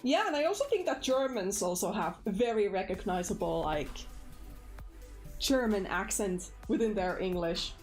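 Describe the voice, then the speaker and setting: Finnish-accented woman streamer, loose casual chat full of fillers, middle pitch, low music bed. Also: expressive, normal speed. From Finland. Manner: chatty, lots of fillers, laughs easily. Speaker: livestream host, animator.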